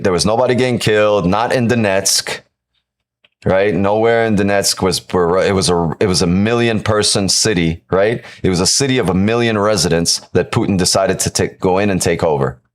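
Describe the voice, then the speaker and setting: A fairly low-voiced man from Ukraine talks off the cuff on his live stream, answering viewers' comments. He argues emphatically, circles back over his own phrases and keeps checking in with "right?". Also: moderate pace. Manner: emphatic, repeats himself, many "right?" tags. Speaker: live-stream trading host. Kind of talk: casual live-stream talk answering chat.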